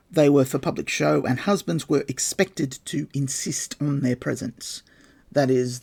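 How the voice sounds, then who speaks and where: A man's voice: medium pitch, creaky, darkish tone, husky. A man hosting a podcast, reading his research notes aloud with dry, wry asides. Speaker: podcast host.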